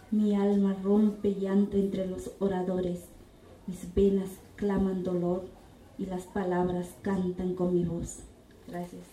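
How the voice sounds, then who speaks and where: A woman reading her own poems aloud at a public event, in a slow, even, measured recitation. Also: mid-range voice. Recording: echoey room, distant mic, faint ambience.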